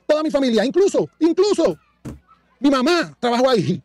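High-voiced, accusatory man. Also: sing-song, normal speed, a little mellow, dry recording. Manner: accusatory and confrontational. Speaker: commentator in a played clip.

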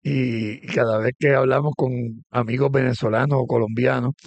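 A man's voice: mid-range voice, fairly even intonation, moderate pace, vocal fry, no echo. A man, a pastor hosting an interview program, talking conversationally with many restarts and fillers.

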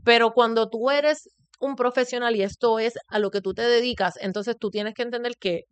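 A woman's voice: on the high side, steady tone, normal speed, clear, resonant tone, no room sound. A Puerto Rican woman on a basketball talk show, explaining rules step by step in a firm, matter-of-fact way. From Puerto Rico. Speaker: basketball panelist. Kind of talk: sports talk show discussion.

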